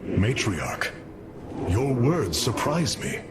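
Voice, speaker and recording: An actor playing a noble, resolute alien warrior leader in a sci-fi game, formal and commanding. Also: male voice, medium pitch, big pitch swings, takes it slowly, a loud crowd. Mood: firm, urgent. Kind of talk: dramatic sci-fi game acting. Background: loud dramatic music.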